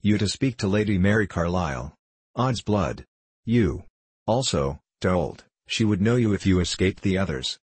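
Man playing a snarling, low-voiced duke. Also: expressive, moderate pace, slightly rough, no room sound. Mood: sneering. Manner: snarling, contemptuous, archaic aristocratic oaths. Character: haughty, sneering English duke, bad-tempered and scornful. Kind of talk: audiobook character voice.

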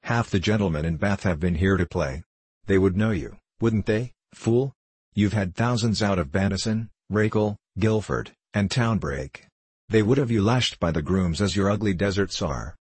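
Man playing a snarling, low-voiced duke. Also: normal speed, a little mellow, breathy edge, no echo. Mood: sneering. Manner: snarling, contemptuous, archaic aristocratic oaths. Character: haughty, sneering English duke, bad-tempered and scornful. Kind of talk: audiobook character voice.

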